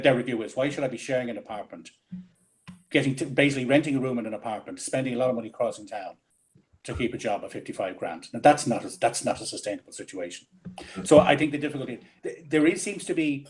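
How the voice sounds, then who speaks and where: An Irish man talking fast on a late-night panel show, often restarting his sentences. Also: mid-range voice, a little mellow. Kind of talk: casual panel discussion.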